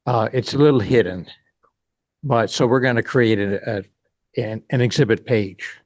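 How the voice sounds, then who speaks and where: A man's voice: mid-range voice, moderate pace, no room sound. A man who belongs to a club, explaining plans informally. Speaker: club member.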